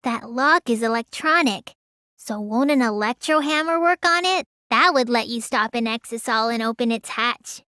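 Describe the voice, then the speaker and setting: High-pitched, sulky teenage girl. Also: moderate pace, bright, forceful tone, no echo, clean studio sound. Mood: earnest, reasoning. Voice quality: high, girlish. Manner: whiny, stammers when startled. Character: small, childlike teenage girl mage, sulky and excitable. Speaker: video game voice actress. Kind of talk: English game dub voice lines.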